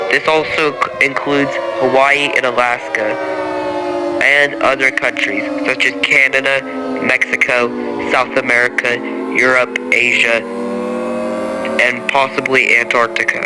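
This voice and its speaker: A man playing an official emergency announcer, reading a disaster alert in a monotone, halting way. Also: mid-range voice, close to an everyday voice, poor audio.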